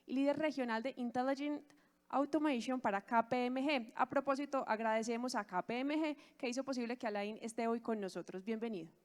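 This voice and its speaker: A woman presents the next speaker at a conference in a formal, announcing manner. Her voice sits a little high. The big hall gives it a long reverb. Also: fairly quick. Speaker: event presenter. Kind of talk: scripted stage introduction. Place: conference stage in a large hall.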